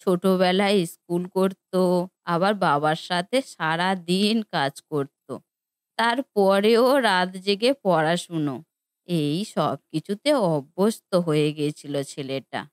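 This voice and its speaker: A woman's voice: on the low side, slow, no echo, studio quality. A woman playing a doting elderly widowed mother in a cartoon family drama, speaking in a reflective, motherly way. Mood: fond, proud.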